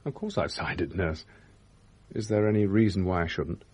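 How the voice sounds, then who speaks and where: An actor playing a brisk, professional country doctor in a radio drama, with a firm manner. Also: male voice, deep voice, a little mellow, breathy edge. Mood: defensive.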